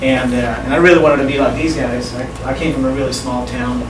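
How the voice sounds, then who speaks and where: A middle-aged man talks to students in a relaxed, conversational manner, often turning to ask them questions. His voice sits at a plain mid pitch and is heard from afar in a reverberant hall, with noticeable background noise. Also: fairly quick. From Sweden. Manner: relaxed, conversational, addresses the audience directly. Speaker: speaker at a school assembly. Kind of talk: personal story told to students. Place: school hall. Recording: echoey hall from far off, noisy room.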